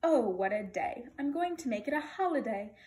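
Woman putting on an excitable, imperious storybook king. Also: on the high side, moderate pace, natural voice. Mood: gloating delight. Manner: imperious, exclamatory.

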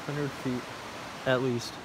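A man's voice: medium pitch, level tone, slow. The guy filming himself on a forest trail, rambling casually into his phone at arm's length as he hikes, outdoor noise around him. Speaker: hiking vlogger. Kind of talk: casual rambling vlog commentary while walking. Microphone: phone camera at arm's length. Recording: noisy outdoor handheld recording.

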